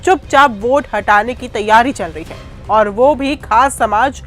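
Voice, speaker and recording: A woman's voice: on the high side, a little slow, a bit bright, faint music behind. A woman delivering scripted political news narration as a voice-over.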